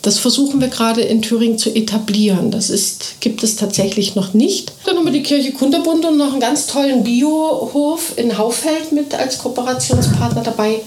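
A woman who runs a kindergarten, answering radio interview questions eagerly and fluently, often filling pauses with short hesitation sounds. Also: high voice, a little mellow. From Thuringia, Germany. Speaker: kindergarten director. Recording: echoey room.

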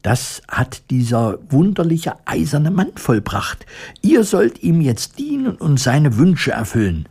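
Man putting on a high squeaky haughty mouse queen. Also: wildly swooping pitch, unhurried, dark tone, husky, exaggerated voice. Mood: dignified. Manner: regal, imperious. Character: haughty little female mouse queen, easily indignant and alarmed.

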